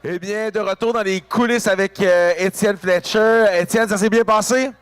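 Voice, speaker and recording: A man's voice: high voice, steady tone, normal speed, clean tone. A man interviewing an artist backstage at a festival, casually, often stumbling over his words. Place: backstage at a concert venue.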